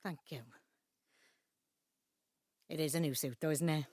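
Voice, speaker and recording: An actress playing a middle-aged Welsh daughter in an audio drama, a chiding, anxious peacekeeper with a South Wales accent. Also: female voice, sits low, fairly even intonation, unhurried, darkish tone, no room sound, clean studio sound. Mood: chiding, playful. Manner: chiding, pleading. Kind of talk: naturalistic audio drama acting.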